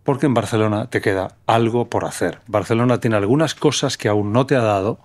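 A man's voice: on the low side, a little fast. Thoughtful middle-aged man with a Spanish accent. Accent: Spanish from Spain. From Menorca, Spain. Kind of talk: reflective conversational interview.

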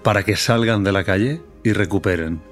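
A middle-aged man hosting a podcast, speaking in a slow, deliberate, reflective way. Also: fairly low pitch, soft background music. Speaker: podcast host. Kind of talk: reflective monologue and interview.

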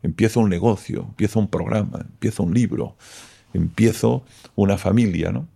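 A man whose first language is Catalan speaks as a philosopher guest, reflective and explanatory. His voice sits low, with a mellow, dark tone and a gravelly fry. He keeps checking in with short questioning tags. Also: normal speed. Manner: thoughtful, explanatory, keeps tagging "¿no?". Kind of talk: reflective interview talk.

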